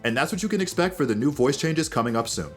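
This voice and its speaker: Fast-talking man hosting gaming news. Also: medium pitch, lively intonation, faint music behind. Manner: addresses viewers directly, presenter-like. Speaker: host of a gaming news show. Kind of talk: upbeat scripted gaming news narration.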